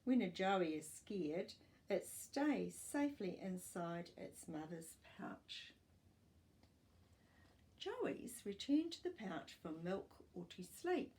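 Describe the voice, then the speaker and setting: An older woman reading a children's non-fiction book aloud as a storytime host, with expressive, deliberate delivery for young children. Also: medium pitch. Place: small furnished living room, indoors.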